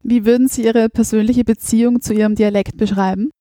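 A woman's voice: fairly high pitch, fairly even intonation, fairly quick, warm tone, no echo, clean studio sound. A woman interviewer with an Austrian accent, asking formal and polite questions.

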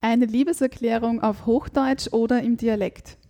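A woman's voice: fairly high pitch, steady tone, normal speed, dark tone. A woman putting questions to a guest as a podcast interviewer in a conversational way.

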